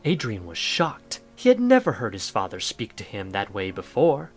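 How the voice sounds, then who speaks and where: Lively male story narrator. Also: fairly low pitch, normal speed, faint music behind.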